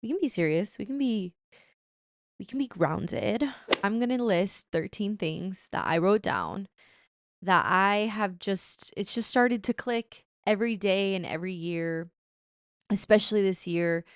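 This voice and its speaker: Smooth, chatty young woman podcaster. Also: medium pitch, expressive, a little slow, no echo. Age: 20s. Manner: chatty and playful, with laughs and slang asides. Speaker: podcast host. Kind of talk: casual chat.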